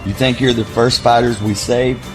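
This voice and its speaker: An actor playing a firm, loyal soldier in an audio drama. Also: male voice, medium pitch, fairly even intonation, moderate pace, lightly acted. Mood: gruffly friendly. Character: firm, loyal male soldier of a rescue band. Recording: music under the voice.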